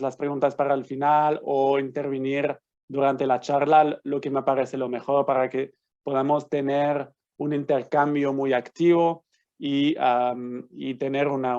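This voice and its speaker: A man's voice: mid-range voice, a little mellow. A Swiss man lecturing online as an invited lawyer, speaking a Spanish he learned in Argentina, hesitant with many restarts and fillers. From Switzerland. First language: not Spanish. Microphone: computer mic on video call. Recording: slightly dull lo-fi sound.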